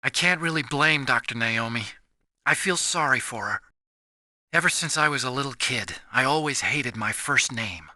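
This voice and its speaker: An actor playing an earnest, nerdy young American engineer in a video game, explaining things emphatically with anxious sincerity. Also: male voice, medium pitch, lively intonation, moderate pace, sharp tone, dry recording, clean studio sound. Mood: sad, confiding. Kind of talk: dramatic video game voice acting.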